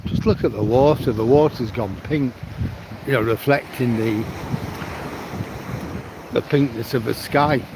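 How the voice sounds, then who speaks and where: A man's voice: mid-range voice, unhurried, creaky, pauses often, noisy background. A man from Manchester with a mixed northern English accent, chatting casually to viewers on a livestream as he walks a riverside promenade. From Manchester, England. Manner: chatty, answers viewers' comments by name. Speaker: livestream vlogger. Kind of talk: casual walking livestream chat.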